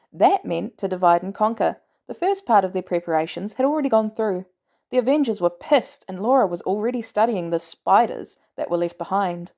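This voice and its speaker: A woman reading a fan fiction story aloud as a podfic narrator, with expressive storytelling. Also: medium pitch. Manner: expressive storytelling, animated delivery. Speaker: podfic reader.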